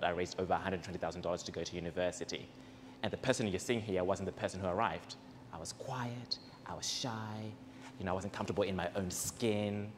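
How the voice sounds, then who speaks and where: A young man from Zimbabwe hosting a community event, speaking earnestly and warmly about gratitude into a handheld mic before a seated audience in a large indoor space. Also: low-pitched. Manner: earnest, personal and grateful. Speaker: event host. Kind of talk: speech to a live audience. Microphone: handheld microphone. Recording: reverberant hall, faint background noise.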